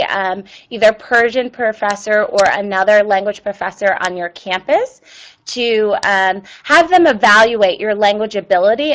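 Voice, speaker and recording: A woman hosts an online presentation, pausing often with ums and uhs. Her voice sits at an ordinary middle pitch. She answers viewer questions in a plain, informative way. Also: low-quality recording. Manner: reads viewer questions aloud. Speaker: webinar presenter.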